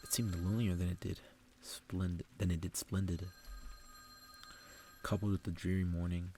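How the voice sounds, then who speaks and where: A man reads a story in a very low, even voice with heavy fry. His delivery is soft and unhurried ASMR narration, with faint noise behind it. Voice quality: low, gravelly, soft-spoken. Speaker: ASMR reader.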